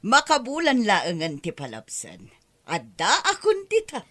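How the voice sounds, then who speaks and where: A woman's voice: on the high side, wildly swooping pitch, measured pace, fairly bright tone, heavily acted. A woman acting a giggly, agreeable friend in an Ilocano radio drama. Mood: amused, agreeable.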